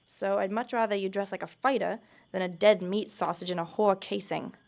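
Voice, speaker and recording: An actress plays a tough female rogue in a fantasy radio play. She is blunt, foul-mouthed and scornful, with a medium-pitched voice and a sardonic manner. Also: steady tone, moderate pace, creaky, a little mellow, lightly acted. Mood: blunt, practical. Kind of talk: fantasy audio drama acting.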